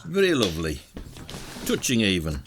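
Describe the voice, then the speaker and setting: An actor playing a blunt, shrewd British police detective in an audio drama, with a colloquial, needling delivery. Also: male voice, on the low side, huge pitch swings, moderate pace, background noise. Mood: dry, assured. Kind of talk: radio drama acting.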